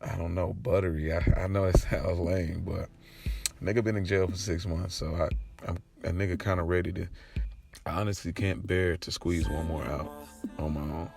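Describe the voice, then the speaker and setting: An American man narrating an audio drama in the first person, in a gravelly voice and casual, slangy street vernacular. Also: low voice, fairly even intonation, normal speed, a little mellow. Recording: music bed under the voice. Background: music.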